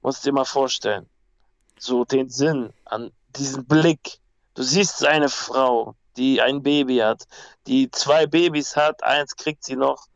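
A man's voice: medium pitch, fairly even intonation, slow, gravelly, dry recording, highs cut off. A young man from Peru, a guest talking casually and bluntly, with slang and many restarts. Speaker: guest, MMA fighter. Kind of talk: casual conversation.

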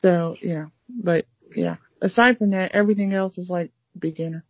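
A woman's voice: lowish voice, moderate pace, husky, no room sound, muffled recording. A woman from the United States chatting casually about learning languages, rambling with many fillers and restarts. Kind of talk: casual conversation.

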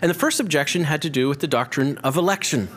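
A man's voice: a little high, fast, fairly bright tone. A man hosting an educational history programme, explaining in a prepared but conversational way with small clarifying asides. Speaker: host, church historian.

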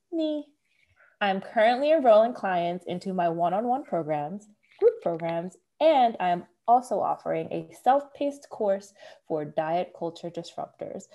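A woman's voice: mid-range voice, darkish tone. A woman co-hosting a podcast as a dietitian. She sounds congested, chats casually and laughs often. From Philadelphia area, USA. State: congested, stuffy voice.

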